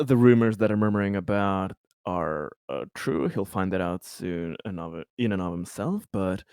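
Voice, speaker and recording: Lower-voiced man, casual, dry sound. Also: a little mellow, no room sound. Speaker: tabletop roleplaying player. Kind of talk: casual chat and third-person narration of a character's actions.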